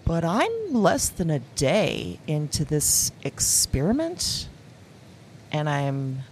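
An actress playing a lonely, awkward office worker, confiding haltingly and candidly in an audio diary drama. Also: female voice, deep voice, wildly swooping pitch, darkish tone, slight background noise, exaggerated voice. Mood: unsettled, intrigued. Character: lonely, awkward woman office worker keeping a dream journal.

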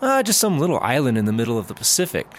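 Man playing a sheepish sidekick, mid-pitched, extremely animated intonation, hesitant, comic audio drama, faint background noise. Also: racing. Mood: casual, sheepish. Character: hesitant, easily swayed, sheepish male squad-car partner.

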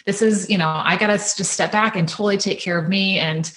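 A woman's voice: medium pitch, fairly even intonation, fairly quick, a bit bright. A woman hosting an interview, talking in a rambling way with many restarts and fillers. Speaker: podcast host interviewing women. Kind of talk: conversational interview.

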